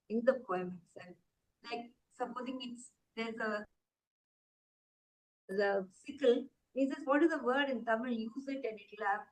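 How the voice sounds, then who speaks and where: A woman's voice: fairly high pitch, poor audio. A woman, a poet on a panel, telling anecdotes casually. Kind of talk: panel discussion.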